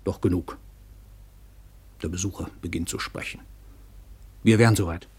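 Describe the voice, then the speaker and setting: A husky-voiced man of letters in a radio drama, full of his own importance. He is curt and imperious, a hard drinker used to giving orders. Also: deep voice, steady tone, moderate pace. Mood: sardonic, declaiming. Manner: curt, imperious, loud outbursts. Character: brusque, self-important, hard-drinking world-famous male novelist. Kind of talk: German radio play acting with first-person narration.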